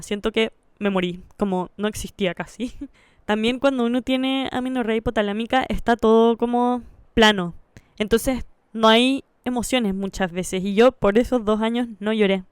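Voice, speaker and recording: A woman's voice: mid-range voice, a little mellow, clear, resonant tone, dry recording, clean studio sound. A woman with a Chilean Spanish accent telling her personal story in a reflective, candid way, like a vlogger.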